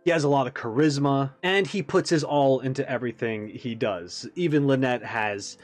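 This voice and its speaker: A man's voice: mid-range voice, normal speed. A man hosting a reaction video, giving casual, off-the-cuff commentary. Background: faint music.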